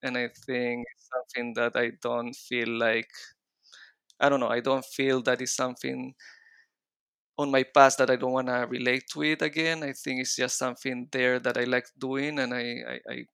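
A middle-aged man speaking with a blend of Israeli and Chilean Spanish accent, his voice carrying a gravelly edge. He talks as an interview guest, hesitant and restarting often, and the sound is close and dry. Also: medium pitch, level tone, moderate pace, fairly bright tone, no echo. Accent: Israeli and Chilean Spanish mix. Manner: hesitant, frequent restarts and fillers. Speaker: guest designer. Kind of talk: casual interview conversation.

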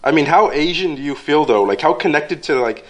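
A man's voice: on the high side, animated, fairly quick, low-quality recording. A young man in casual conversation, prodding with questions and filling his talk with "like" and "you know". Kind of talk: casual chat.

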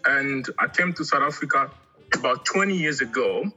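A middle-aged man from Angola, raised in Congo, chatting casually as an artist guest in an interview, halting and often repeating words. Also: medium pitch, animated, bright, forceful tone, husky, soft background music, poor audio.

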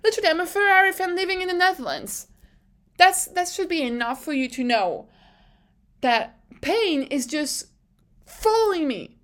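A young woman with a high, bright-pitched voice chats through a casual recap as host. She rambles with lots of energy, circles back on herself, and laughs often. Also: lively intonation, normal speed. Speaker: podcast host.

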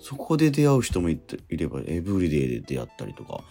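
Low, very animated male radio host. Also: creaky. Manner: reflective, halting, frequent interjections and murmured agreement. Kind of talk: casual radio chat. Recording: music behind the voice. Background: background music.

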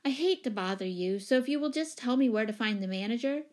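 A woman voicing a firm, businesslike mother with a crisp, direct and insistent manner in a storybook reading. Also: fairly high pitch, expressive, quick pace, a little mellow, voice pushed up, close to an everyday voice. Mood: polite, insistent.

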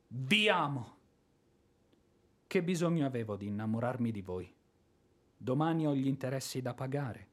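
An actor playing a hot-headed, lovestruck ex-army officer in a theatrical radio drama, bombastic and impulsive. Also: male voice, medium pitch, close to an everyday voice. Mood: self-reproaching. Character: blustering, hot-headed ex-artillery officer, helplessly smitten.

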